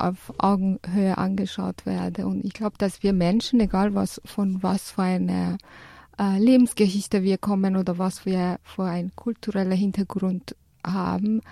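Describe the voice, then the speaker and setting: A woman's voice: medium pitch, creaky, dark tone, no room sound. A woman as a radio guest, explaining reflectively in conversation and hesitantly searching for her words.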